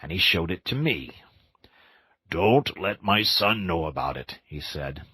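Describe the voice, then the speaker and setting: A severe old badger, talking slow and grave in a very low voice. A man puts him on, husky and close, in a dry recording. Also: lively intonation, pushed and bright, no echo, exaggerated voice. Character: stern, wise old male badger.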